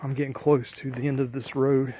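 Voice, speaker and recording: Guy rambling to his camera on a long walk down a back road, kinda flat monotone, bit tired, faint bugs in the background. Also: medium pitch, fairly quick, a little hiss or hum. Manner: rambling, thinking aloud while walking. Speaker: vlogger exploring an abandoned road. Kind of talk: casual walking vlog. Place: outdoors on an overgrown country road. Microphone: handheld or body camera while walking. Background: faint insects and rural ambience.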